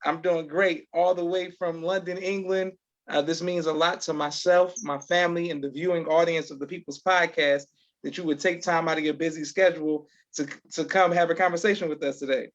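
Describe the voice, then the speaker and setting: A man hosting a live online interview in a polite, halting manner, repeating words. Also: a little high, fairly even intonation, fairly bright tone. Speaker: podcast host, interviewer. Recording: dull lo-fi online call sound.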